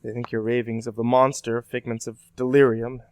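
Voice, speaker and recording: A man's voice: mid-range voice, level tone, brisk, clear, resonant tone, dry recording, natural voice. A man acting a kindly, avuncular older professor in an old-time radio drama style. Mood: reassuring, hushed. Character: kindly, fatherly older male professor, soothing mentor.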